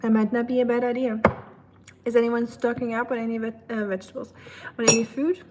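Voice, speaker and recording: A woman's voice: a little high, little pitch movement, a little fast. A woman with a German accent chatting casually to livestream viewers, thinking aloud in a rambling way with dry humor. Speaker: livestream host. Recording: clear background ambient noise.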